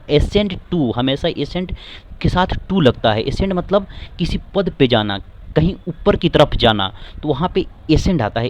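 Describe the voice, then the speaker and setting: Man teaching English, slow and careful. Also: on the high side, a little hiss or hum. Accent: Indian. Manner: reads English phrases slowly, then explains in Hindi, spelling words letter by letter. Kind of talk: explaining an editorial line by line for exam students.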